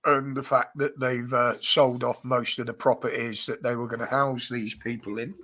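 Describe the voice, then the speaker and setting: A man's voice: medium pitch, flat delivery, normal speed, pushed and bright. A British man hosting a casual livestream chat, his nose blocked up, chatty and greeting viewers by name with frequent chuckles. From United Kingdom. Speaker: livestream host.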